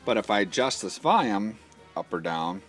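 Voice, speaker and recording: A man's voice: lowish voice, sing-song, normal speed, fairly bright tone, faint music behind. A man demonstrating a product in an informal, matter-of-fact, step-by-step instructional style. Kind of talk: informal hands-on instructional walkthrough.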